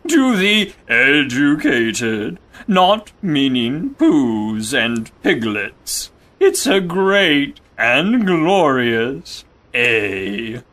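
A man's voice: mid-range voice, a bit bright, exaggerated voice. A man playing a gloomy, self-important old male donkey in an audio drama, speaking with sarcasm and pomposity. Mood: indignant, proud.

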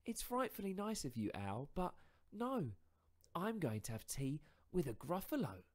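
High, chirpy little storybook mouse. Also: male voice, a little slow. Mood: polite. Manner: chirpy, sing-song, animated. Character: small clever mouse, cheeky, boastful trickster.

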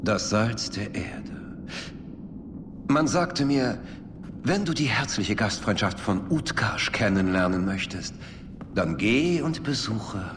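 A man playing a flamboyant, menacingly polite tyrant king in a video game, theatrical and mock-courteous, with drawn-out phrases and sudden pauses. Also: fairly low pitch, expressive, echoey room, long reverb tail, background noise. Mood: mock delight. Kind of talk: video game cutscene acting.

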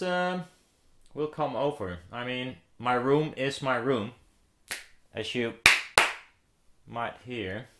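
A man in his thirties talks casually and slowly, often hesitating as he thinks aloud. His pitch is average for a man. The room adds a clear slap echo to his voice, picked up by a camera a few metres away. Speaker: DIY loudspeaker builder presenting a listening demo. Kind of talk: casual, unscripted chat. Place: living room with speakers, indoors. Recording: roomy echo, slap delay.

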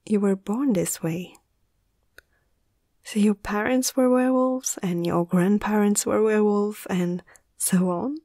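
A woman playing a caring young female veterinarian in a soft, hushed ASMR roleplay, speaking gently and a little hesitantly. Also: medium pitch, a little slow, no room sound, clean studio sound. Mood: curious, gentle.

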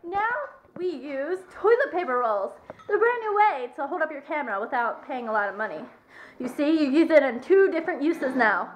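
A young woman with a fairly high voice jokes and teases her way through a casual home video. She sounds hyper and playful, recorded in a living room by a camera propped on a counter. Clicks and handling noise come through clearly. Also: expressive, moderate pace. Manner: playful, goofy and hyper, teasing. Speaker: vlogger filming family. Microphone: camera propped on a counter nearby.

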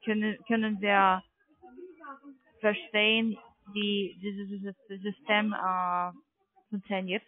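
A man makes a call centre sales call in a high voice. He talks slowly and haltingly, hunting for words, with a non-native, foreign accent. The phone line is thin. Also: flat delivery. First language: not German. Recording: narrow phone-line sound.

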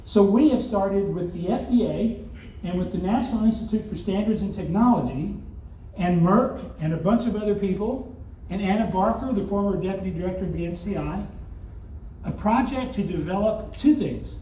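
A man's voice: high-pitched, animated, moderate pace, warm tone, a little hiss or hum. An older man from Arkansas with a Southern accent, giving a conference keynote with rhetorical questions and wry asides, recorded from the audience in a large hotel hall. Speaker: keynote speaker. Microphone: far phone camera in audience. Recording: distant echoey hall, dull audience phone recording.

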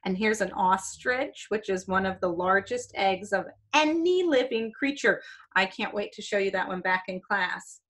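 A woman's voice: medium pitch, animated, normal speed, a bit bright. A middle-aged American woman reading aloud to young pupils like a teacher, explaining as she goes with questions and small exclamations. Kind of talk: children's read-aloud with asides. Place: small room indoors.

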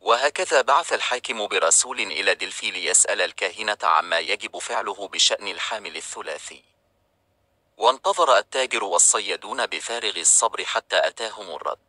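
A man narrating a children's storybook in a calm, even reading style. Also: lowish voice, dry recording.

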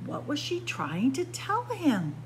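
Librarian lady doing story time, really animated, takes her time, stresses words dramatically, bit of hum behind her. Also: high-pitched, slow, poor audio. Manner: expressive, dramatic emphasis on key words. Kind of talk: picture-book read-aloud.

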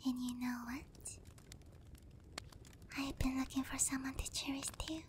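Slow, whispering demon queen, acted by a woman. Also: high-pitched, steady tone, slight background noise. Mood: tender, coaxing. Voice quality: soft, breathy whisper. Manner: slow, teasing, coaxing. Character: giant demon queen, seductive, doting, gently dominant. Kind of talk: whispered ASMR roleplay. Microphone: close ASMR microphone.

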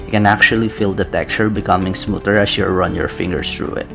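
A young man narrating a scripted fragrance review in a steady, methodical, descriptive way, recorded indoors on camera. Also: deep voice, fairly quick. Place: small indoor room with brick-pattern wall. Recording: clear background music under voice. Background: background music.

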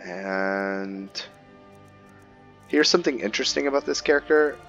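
A man giving casual, low-key gameplay commentary as a channel host, thinking aloud as he plays. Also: measured pace, a bit bright. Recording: slightly dull sound, faint game music. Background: game music and character voices.

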